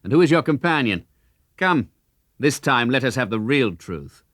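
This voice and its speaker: A man's voice: mid-range voice, big pitch swings, normal speed, fairly bright tone, no echo, studio quality. A man playing a commanding, wry outlaw leader with a declamatory, questioning way of talking. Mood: amused, probing.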